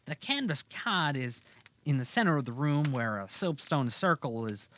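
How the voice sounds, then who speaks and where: A man's voice: medium pitch, big pitch swings, moderate pace, fairly bright tone. A man narrating a fantasy audio drama from a script. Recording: clearly audible background noise.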